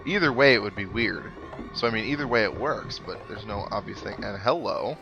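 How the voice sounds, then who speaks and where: A man's voice: lowish voice, animated, brisk, creaky. A man giving casual, dryly joking commentary as he plays a video game and reads its text aloud. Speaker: host of a video game playthrough. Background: video game music.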